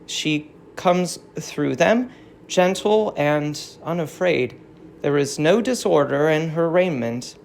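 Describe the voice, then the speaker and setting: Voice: medium pitch, takes it slowly, gravelly, darkish tone, slight background noise. An audiobook reader giving scripted dramatic narration of a verse tragedy.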